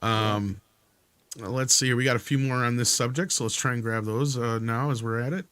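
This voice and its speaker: A man with a middle-pitched voice hosts a live stream in a dry, close acoustic. He reads viewer comments and chats informally, with a joking, off-the-cuff manner. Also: moderate pace, a bit bright, clear, resonant tone, no room sound. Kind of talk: casual panel chat.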